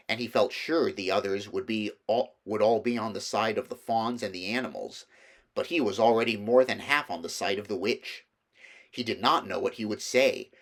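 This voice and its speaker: A man reading a children's storybook aloud as a narrator. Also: lowish voice. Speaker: amateur audiobook reader.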